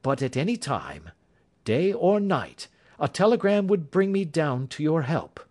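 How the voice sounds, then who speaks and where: A man reading an audiobook, voicing a sharp, aloof male detective in a crisp, assured and clipped manner. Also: a little high, sing-song, a little slow, pitched above the performer's own voice. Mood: grave.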